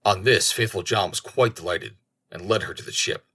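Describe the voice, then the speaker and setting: A man reading a fairy tale as an audiobook narrator, with deliberate, dramatic storytelling. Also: sits low, sharp tone, husky.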